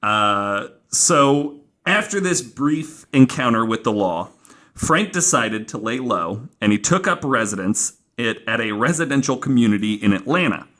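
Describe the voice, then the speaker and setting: A man's voice: mid-range voice, animated, unhurried, a bit bright. A man hosting a podcast, telling a true-crime story casually and informally from notes, with some swearing. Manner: informal, profane, acting out quoted remarks.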